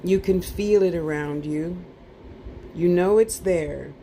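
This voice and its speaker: A woman's voice: lowish voice, slow pace, dark tone, slight background noise. A woman leading a guided meditation class with soothing, informal instructions, playful asides and lulling repeated phrases.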